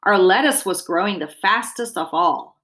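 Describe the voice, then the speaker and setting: Female storybook reader, mid-pitched and expressive, explaining things simply for young listeners. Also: normal speed. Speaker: dietitian reading a children's book aloud. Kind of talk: storybook read-aloud with explanatory asides.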